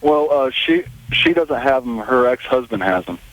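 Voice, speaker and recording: A man in his twenties speaks as a caller on a cell phone. His voice is medium in pitch, and he talks in a hesitant, conversational way. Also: animated, normal speed, highs cut off. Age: 20s. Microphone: cell phone call.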